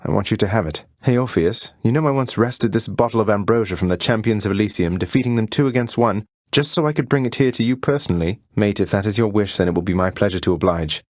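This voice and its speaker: A man's voice: lowish voice, no room sound, studio quality, close to an everyday voice. A man playing a young, rebellious prince of the underworld in a video game, wry, understated and casually courteous. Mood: friendly, earnest. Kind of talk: video game character voice lines.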